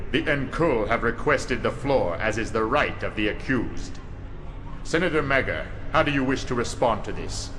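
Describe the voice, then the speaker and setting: An actor playing a stern presiding official of a galactic senate in a video game, formal and deliberate. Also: male voice, mid-range voice, lively intonation, over music, lightly acted. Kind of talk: scripted video game cutscene acting.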